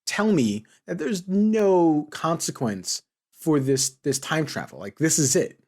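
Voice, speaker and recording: A man co-hosting a podcast, chatting casually in an animated, questioning way. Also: a little high, slow pace. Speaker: podcast co-host. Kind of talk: casual chat about a TV series.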